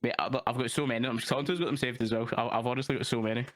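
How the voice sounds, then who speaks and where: A man's voice: mid-range voice, fairly even intonation, racing, no echo, studio quality. A young man with a Glaswegian accent, chatting casually as a guest, swearing and laughing, calling everyone mate. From Scotland. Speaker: guest, roleplay streamer. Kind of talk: casual chat.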